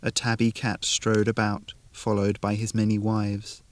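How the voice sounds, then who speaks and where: A man reading an atmospheric short story in a deliberate, measured, literary manner. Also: fairly low pitch, monotone. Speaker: narrator.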